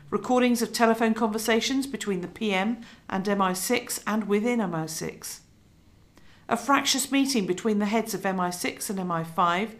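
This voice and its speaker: An actress playing a resolute investigative TV journalist in a radio drama, presenting in a measured, emphatic way. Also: female voice, medium pitch, lightly acted. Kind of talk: scripted documentary presenting within radio drama.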